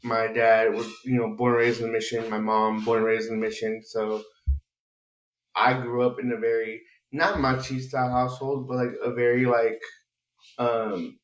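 A man's voice: medium pitch, flat delivery, moderate pace, roomy sound, low-quality recording. A man from San Francisco chatting casually around a dining table, rambling and searching for his words, laughing easily. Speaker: guest. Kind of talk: casual group chat. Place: home dining room.

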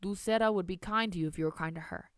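A woman's voice: lowish voice, fast talker, clear, resonant tone, no echo, clean studio sound, lightly acted. A woman voicing a stern old grandmother.

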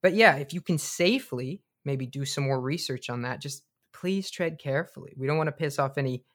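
A man playing an excitable, foul-mouthed bunker leader and tinkerer, co-hosting a comedic radio drama in a casual, hesitant way. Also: fairly high pitch, a little fast, lightly acted. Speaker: co-host.

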